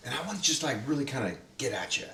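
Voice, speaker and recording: Laughing, animated man in a roomy space. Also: medium pitch, racing, noisy background. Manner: animated, laughing often, many fillers. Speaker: host, director of the radio play. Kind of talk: casual roundtable chat. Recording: roomy sound, mic at a distance.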